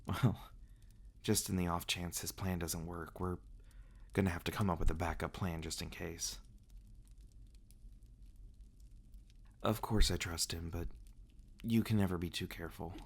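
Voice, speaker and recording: A man acting as a reclusive male vampire with a low-pitched voice, speaking in a soft, halting murmur. He is tender and protective, in the close, intimate manner of an ASMR fantasy roleplay. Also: steady tone, vocal fry, husky, exaggerated voice. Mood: hesitant, reluctant.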